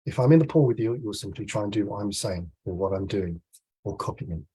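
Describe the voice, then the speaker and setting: British male swimming coach, forties, very rough husky voice blocked up with a cold, lowish pitch, conversational video-call delivery. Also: animated, quick pace, dark tone, poor audio. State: congested with a cold. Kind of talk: informal online coaching talk to camera. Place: small room at home, indoors. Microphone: video call, computer mic.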